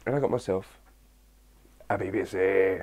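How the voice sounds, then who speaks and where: A young man with a husky early-morning voice, chatting casually to his viewers, answering their questions and rambling with lots of fillers. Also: sits low, moderate pace, a little mellow. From England. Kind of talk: casual chat to camera.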